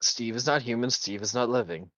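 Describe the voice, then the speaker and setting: A woman's voice: very deep voice, a little fast, no room sound. A woman chatting casually on a stream, giggling often and restarting her sentences, on a dull lo-fi mic. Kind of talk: casual chat.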